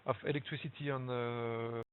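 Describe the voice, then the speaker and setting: A man's voice: medium pitch, fairly even intonation, normal speed, smooth. A man with a strong French accent, a native French speaker, gives a webinar presentation in English, hesitating often with fillers and restarts. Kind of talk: halting presentation in a second language. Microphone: web conference audio. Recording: tinny phone-like web call audio with hiss.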